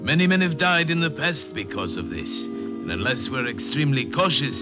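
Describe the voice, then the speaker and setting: An actor playing a greedy, conspiratorial treasure seeker with theatrical, florid urgency in an old radio drama. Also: male voice, medium pitch, big pitch swings, moderate pace, natural voice. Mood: ominous, urgent. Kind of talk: 1950 American radio drama. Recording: muffled old radio broadcast with music.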